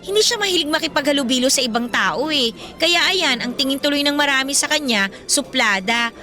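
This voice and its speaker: Bright, high-voiced, defiant young Filipina girlfriend, acted by a woman. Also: lively intonation, fairly quick. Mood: defensive. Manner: assertive, emphatic. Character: defiant young girlfriend, outspoken and loyal to her friend. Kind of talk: radio-drama dialogue acting. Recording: music bed behind the voice.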